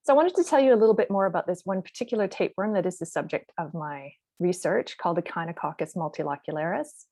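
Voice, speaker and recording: A woman researcher giving a measured, explanatory webinar talk over a video call. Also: fairly low pitch, warm tone, no echo. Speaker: researcher presenting. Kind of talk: webinar presentation.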